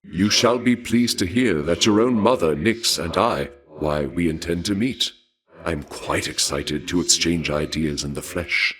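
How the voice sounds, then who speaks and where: An actor playing a suave, courtly and bloodthirsty god of war in a video game, speaking in a formal, archaic, measured way with polite menace. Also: male voice, low-pitched, a bit bright, faint music behind. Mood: courteous, intrigued. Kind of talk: theatrical scripted video game dialogue.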